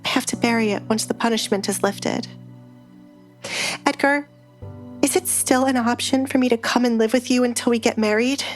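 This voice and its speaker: A woman voicing a timid, hurt young noblewoman in an audiobook romance, softly pleading. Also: a little high, fairly even intonation, moderate pace, pauses often, soft background music, voice pushed up, close to an everyday voice. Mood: hurt, angry.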